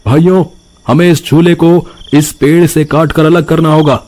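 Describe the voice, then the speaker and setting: A man's voice: fairly high pitch, steady tone, unhurried, a little mellow, lightly acted. A man voicing a bold, angry villager in a melodramatic cartoon, declaiming with emphasis. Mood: angry, defiant.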